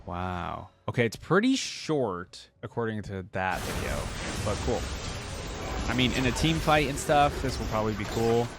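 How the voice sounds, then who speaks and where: A man's voice: medium pitch, animated, normal speed, music in the background. A man giving casual, conversational reaction commentary as a host talking directly to his viewers. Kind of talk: casual reaction commentary, sometimes reading aloud.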